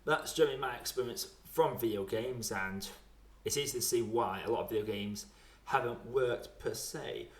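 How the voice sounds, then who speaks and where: A man's voice: medium pitch, moderate pace. A man chatting casually about video games in a colloquial, self-deprecating way. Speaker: podcast speaker. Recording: roomy, echoey sound.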